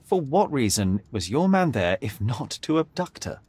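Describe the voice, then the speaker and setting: An actor playing a confident, sharp young detective who speaks formally and articulately, needling and unflappable in a dramatic video game style. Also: male voice, mid-range voice, very animated, normal speed, slightly rough, dry recording. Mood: measured, probing.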